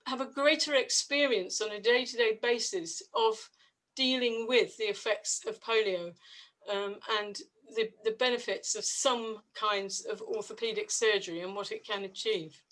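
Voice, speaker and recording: An older woman in her sixties or beyond giving a reflective personal account on a webinar, with halting, restarted phrases. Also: fairly high pitch, a bit bright, rough recording. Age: 60s or older. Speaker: panel guest, polio survivor.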